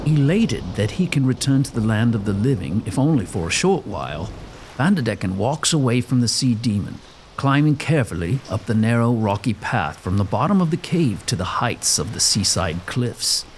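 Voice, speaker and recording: A man's voice: mid-range voice, big pitch swings, moderate pace, slight background noise, natural voice. A man narrating a gothic audio drama in a scripted, dramatic storytelling style.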